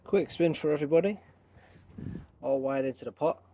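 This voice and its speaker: A man with a croaky voice talking casually through his bonsai work as host of his channel, thinking aloud as he goes, outdoors. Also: a little high, normal speed, dark tone, a little hiss or hum. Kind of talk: casual hands-on tutorial talk.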